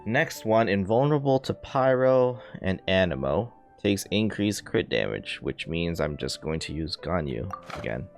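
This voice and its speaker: A man's voice: sits low, expressive, measured pace, hardly any pauses. A man giving casual live commentary while he plays a video game, with game music behind him. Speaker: game streamer. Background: loud video game music.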